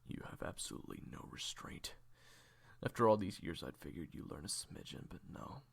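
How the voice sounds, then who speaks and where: A hardened, bitter assassin acted by a man speaks in a low, rasping growl. His curt delivery seethes with defiance and swings widely from breathy menace to harsh force, as in a tense audio drama. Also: lively intonation, moderate pace, in a whisper, full character voice. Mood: cold, bitter. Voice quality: gravelly, husky. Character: bitter, defiant male assassin, former protégé of villain. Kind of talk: audio roleplay acting.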